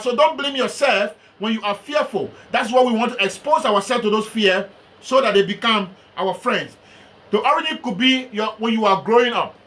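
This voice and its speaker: A man with a Liberian accent preaches in a slow, deliberate way. His voice sits very high and sounds bright and forceful. He drives each point home with scripture. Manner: slow, emphatic delivery with Bible references. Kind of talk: sermon.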